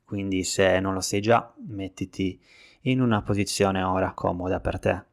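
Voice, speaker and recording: A man narrating a guided relaxation as an instructor, calm and measured, leaving pauses between instructions. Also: deep voice, vocal fry. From Italy. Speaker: guided meditation instructor, physiotherapist.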